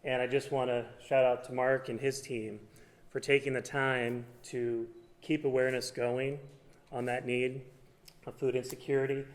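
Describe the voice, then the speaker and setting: A man from a food pantry giving an unplanned thank-you speech, halting and restarting as he goes. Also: medium pitch. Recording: reverberant hall.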